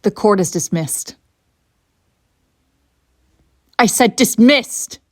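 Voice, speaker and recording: A woman playing an imperious evil empress in an audio roleplay, coolly seductive and menacing, with theatrical, deliberate phrasing. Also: mid-range voice, lively intonation, no room sound, clean studio sound. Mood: furious, commanding. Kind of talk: scripted audio roleplay acting.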